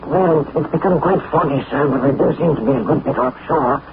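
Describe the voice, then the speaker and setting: An actor playing a flustered, deferential sidekick who talks in a stammering, polite way. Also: male voice, fairly high pitch, big pitch swings, a little fast, creaky. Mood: bewildered. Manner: stammering, polite, keeps saying "sir". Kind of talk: 1940s radio acting. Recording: tinny, hissy 1940s radio broadcast.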